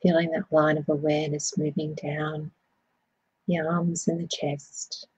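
Soft mellow woman doing a guided meditation, really slow and gentle, close dry sound. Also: deep voice, little pitch movement, husky, no room sound, low-quality recording. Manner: soothing, gentle, unhurried phrasing. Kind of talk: guided body scan meditation.

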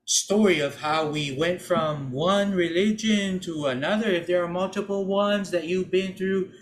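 A man in his thirties from American Samoa hosts a casual podcast, talking loosely with restarts and fillers. His voice sits a touch high for a man. There is a faint hiss of room noise, caught on a desk mic in a small room. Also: measured pace. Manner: chatty, many restarts and fillers. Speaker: podcast host. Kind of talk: casual chat. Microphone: desk microphone.

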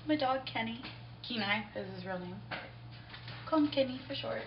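A woman's voice: fairly high pitch, lively intonation, normal speed, background noise. Young woman chatting casually, distant and roomy. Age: young adult. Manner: easygoing, rambling, self-excusing. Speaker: home cooking vlogger. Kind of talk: casual unplanned chat to camera while baking. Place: home kitchen, indoors. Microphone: camera mic a few feet away. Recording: roomy, distant, dull camera audio with music.

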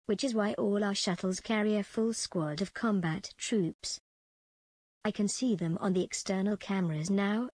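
A woman's voice: medium pitch, a little mellow, breathy edge, no room sound. An actress playing a ruthless female prison commandant in a space empire, dictating clipped official log entries for a video game. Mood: wary, calculating.

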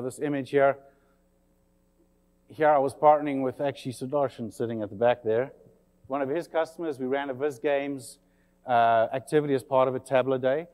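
A man with a South African accent, slightly worn by years in England, presents a conference session in a friendly, chatty way. His voice sits at a middle pitch and rings with the long reverb of a hall. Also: darkish tone. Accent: South African, slightly English-tinged. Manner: friendly, chatty, with many fillers. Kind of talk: conference talk to an audience.

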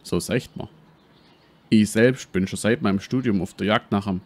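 Self-important professor played by a man, low-pitched, Swabian accent, brash and shady, for a comic detective audio drama. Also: moderate pace, lightly acted. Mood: explaining. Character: brash, shady male history professor and treasure hunter. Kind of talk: parody detective radio play.

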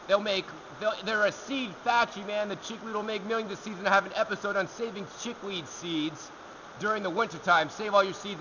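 High, bright-voiced man answering garden questions. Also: lo-fi sound, slight background noise. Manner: energetic, talks with his hands. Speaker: gardening show host. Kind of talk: answering viewer questions to camera. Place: outdoors in a large rock alcove by a waterfall.

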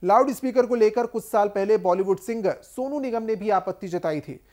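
A man's voice: high voice, a little fast, a little mellow. A man, reading a scripted news report as an anchor.

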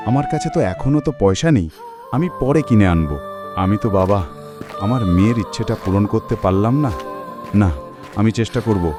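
A man's voice: fairly low pitch, lightly acted. A man voicing a poor, weary village labourer and father who sighs over his troubles in a cartoon. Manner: sighing, despairing.

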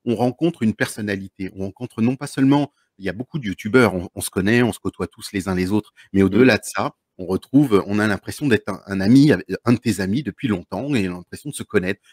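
A chatty man in his thirties hosts a live stream from a room at home, speaking into a large desk microphone. His voice sits low and has a breathy, husky edge. The sound is dry and close, with no room echo. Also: a little fast, hardly any pauses, darkish tone. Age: 30s. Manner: chatty, encouraging, repeats himself. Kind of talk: casual live video chat.

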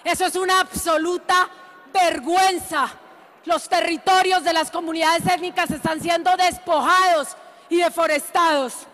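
A woman, delivering a forceful, indignant political speech in a parliament chamber. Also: very high voice, fairly even intonation, moderate pace, in a large hall. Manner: forceful, indignant, emphatic oratory. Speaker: congresswoman. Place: large chamber of parliament, indoors.

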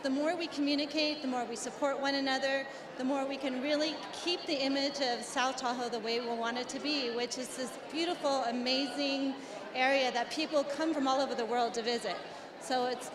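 A middle-aged woman representing a company, explaining her work earnestly into a handheld mic at an indoor expo. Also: sits high. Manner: earnest, explanatory, many restarts. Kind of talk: expo interview. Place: indoor expo hall before a backdrop. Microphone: handheld mic held by the interviewer. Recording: echoey hall with crowd noise.

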